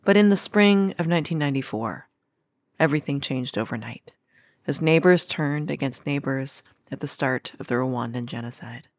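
A woman's voice: extremely low pitch, no room sound, clean studio sound. Woman host reading a scripted intro. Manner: measured, even-paced reading. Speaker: podcast host. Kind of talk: scripted narration.

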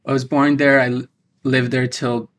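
Man, 30s, interview guest, monotone, hesitant delivery. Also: mid-range voice, slight background noise. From Ukraine. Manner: halting, many restarts and fillers. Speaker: interview guest, divinity student. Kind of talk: personal recollection in an interview.

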